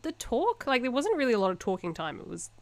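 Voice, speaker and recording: A woman's voice: fairly high pitch, big pitch swings, fast, darkish tone, no echo. A young woman from New Zealand with a New Zealand accent, co-hosting a podcast in casual, chatty talk and laughing easily. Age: young adult. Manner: chatty, laughs often, self-mocking. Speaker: podcast co-host. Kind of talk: casual chat.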